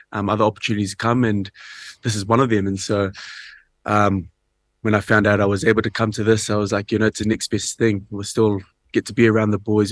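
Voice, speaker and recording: A man's voice: lowish voice, dry recording. A man with a New Zealand accent, a rugby player, answering interview questions in a hesitant, laid-back way full of ums. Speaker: rugby player and show host. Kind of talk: casual interview chat.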